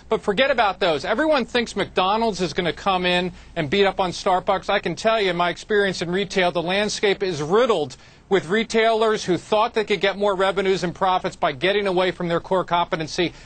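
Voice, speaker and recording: High-voiced man making his stock case. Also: brisk, fairly bright tone. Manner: earnest, listing points. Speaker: market panelist. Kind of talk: TV panel commentary.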